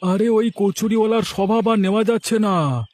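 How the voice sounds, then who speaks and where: A man's voice: sits high, normal speed, darkish tone, dry recording, natural voice. A man voicing an aggrieved, envious village man in a cartoon, speaking in an indignant, boastful, emphatic way. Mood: aggrieved, determined. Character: aggrieved, envious village man plotting a rival food stall. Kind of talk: animated moral story dialogue.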